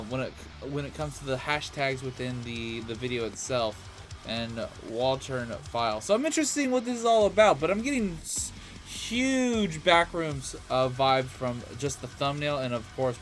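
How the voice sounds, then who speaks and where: A man's voice: medium pitch, expressive, normal speed, a bit bright, faint music behind. A man hosting a reaction video with casual, rambling commentary and occasional casual swearing.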